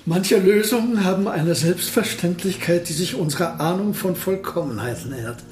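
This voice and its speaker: A man acts out an elderly genius physicist for a radio play, his voice on the high side. He is courteous, absent-minded and a little self-satisfied, phrasing everything formally in a roomy space. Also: normal speed, music in the background, close to an everyday voice. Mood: pleased, modest. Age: older. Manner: polite, pedantic, formal phrasing. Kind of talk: German radio play acting.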